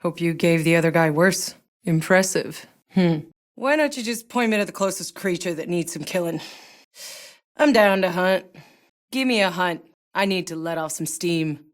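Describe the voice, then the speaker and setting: Game lead: actress as battle-worn woman soldier, lowish pitch, sardonic, blunt, world-weary, naturalistic delivery. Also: normal speed, close to an everyday voice. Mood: dry, guarded. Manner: dry, blunt, clipped delivery. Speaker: video game player character. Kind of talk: naturalistic game character voice lines.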